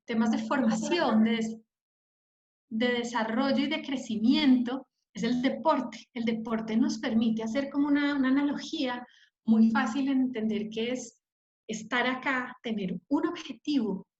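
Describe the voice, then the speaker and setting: A woman's voice: on the high side, darkish tone. A woman presenting a live online training webinar, explaining step by step, checking in with her audience and using some fillers. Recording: echoey, dull lo-fi online audio.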